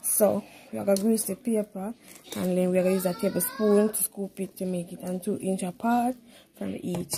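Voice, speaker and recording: A woman's voice: medium pitch, steady tone, fairly quick, vocal fry, dark tone. A woman with a Jamaican accent chatting informally as she vlogs about cooking at home, slipping into Patois. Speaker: home vlogger. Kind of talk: casual cooking vlog chat. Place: home kitchen, indoors. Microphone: handheld phone behind the camera. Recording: noisy handheld home recording with clicks.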